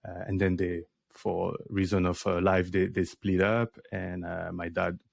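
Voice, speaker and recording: A deep, gravelly male voice, recorded dry and close. He speaks as a career coach in a relaxed interview, often pausing on fillers and restarting his sentences. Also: dry recording. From France. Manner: hesitant, many fillers and restarts. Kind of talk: casual interview conversation.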